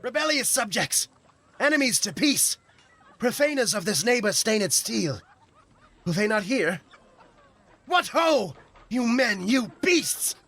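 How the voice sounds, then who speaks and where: Man acting a forceful, high-pitched angry prince. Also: big pitch swings, takes it slowly, long pauses, full character voice. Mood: outraged, commanding. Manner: declamatory, forceful. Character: angry, commanding prince rebuking brawling subjects. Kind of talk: Shakespearean audio play acting.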